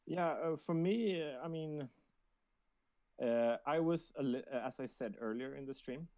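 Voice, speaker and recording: A man co-hosting a casual livestream, speaking in a halting way and often repeating his words. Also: medium pitch, a little mellow, no room sound. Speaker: livestream co-host reading backer questions.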